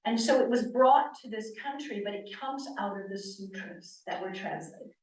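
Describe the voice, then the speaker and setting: A woman's voice: medium pitch, normal speed, echoey room, far from the mic, low-quality recording. Earnest woman in her sixties teaching yoga. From Connecticut, US East Coast. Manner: earnest, animated, asks rhetorical questions. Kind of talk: church talk on yoga. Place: church hall.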